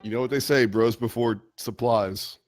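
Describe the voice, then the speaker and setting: A man with an average-pitched voice jokes around casually. His remarks are sarcastic and a bit crude, and faint music plays behind him. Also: fairly even intonation, moderate pace. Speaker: livestream co-host. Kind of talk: casual joking banter. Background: music playing faintly.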